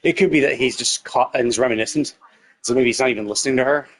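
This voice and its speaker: A man's voice: mid-range voice, fast. A man streaming a game, giving casual live commentary in a rambling, repetitive way as he thinks aloud. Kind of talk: casual live commentary, speculating on a game's story. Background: music with plucked guitar.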